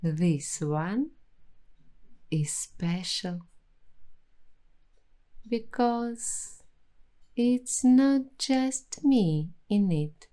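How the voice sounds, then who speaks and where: A woman hosting a soft-spoken ASMR roleplay, talking dreamily and intimately to the listener. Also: mid-range voice, expressive. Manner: slow, dreamy, intimate, addressing the listener directly.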